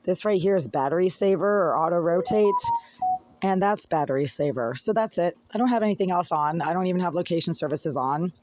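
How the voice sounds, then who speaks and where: A hoarse tenant recording an agitated, rambling complaint on a phone in an apartment, talking while fiddling with the device. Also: mid-range voice, lively intonation, a little fast, a little mellow, a little hiss or hum. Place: apartment indoors. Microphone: phone held by speaker.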